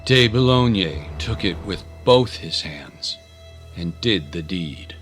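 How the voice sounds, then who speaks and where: Low-voiced male dramatic story narrator. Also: lively intonation, slow, fairly bright tone. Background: clear music.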